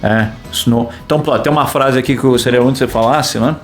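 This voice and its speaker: A man's voice: mid-range voice, steady tone, fast talker. Playful man explaining. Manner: explanatory, playful, quizzing his co-host. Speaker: podcast co-host. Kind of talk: casual film chat. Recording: faint guitar music behind.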